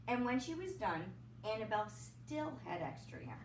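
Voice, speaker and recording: A woman's voice: fairly high pitch, big pitch swings, normal speed, echoey room, distant mic, noisy background. A woman reading a children's picture book aloud expressively, with chatty asides. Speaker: storybook reader, teacher. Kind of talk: read-aloud children's story narration.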